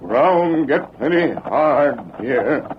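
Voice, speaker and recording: Stoic tracker sidekick, radio Western: terse, grunting broken English, slow delivery, noisy vintage sound. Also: male voice, fairly high pitch, animated. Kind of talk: old-time radio Western acting. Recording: noisy, dull old radio recording.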